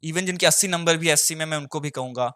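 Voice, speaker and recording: A man's voice: a little high, quick pace, sharp tone, clean tone, no echo, clean studio sound. A young man with an Indian accent giving an informal explainer to viewers, repeating points for emphasis and mixing two languages as he goes. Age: young adult. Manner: repeats points for emphasis, switches between Hindi and English. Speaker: exam advice YouTuber and candidate.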